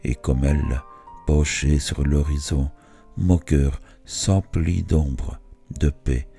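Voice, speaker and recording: A man with an extremely deep, mellow voice reads poetry aloud. He moves slowly and deliberately, setting each phrase apart with a pause. Faint music plays behind his literary recitation. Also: steady tone, creaky. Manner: measured, phrase-by-phrase delivery with pauses. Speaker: poetry reader.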